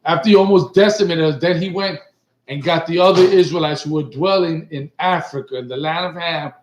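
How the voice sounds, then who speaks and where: A man's voice: a little high, a little fast, lo-fi sound. Emphatic middle-aged man teaching, echoey room. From Puerto Rico. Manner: emphatic, repeats points and asks "right?" often. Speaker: teacher leading a class. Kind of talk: bilingual religious class talk. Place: indoor studio set with printed backdrop.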